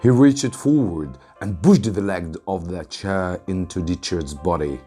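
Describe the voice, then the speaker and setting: A man from Egypt, an English teacher, reading a story aloud with deliberate, dramatic emphasis. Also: deep voice, dark tone, faint music behind.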